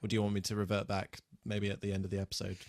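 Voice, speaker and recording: A man's voice: lowish voice, completely flat delivery, rapid-fire, a little mellow, dry recording. A man co-hosting a podcast, chatting casually and off the cuff with wry, sweary banter. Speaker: podcast co-host. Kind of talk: casual unscripted TV re-watch chat.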